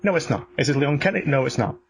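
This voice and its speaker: A man's voice: medium pitch, animated, racing, gravelly. Blunt, sweary guy over background noise. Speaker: podcast co-host. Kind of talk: casual opinionated chat.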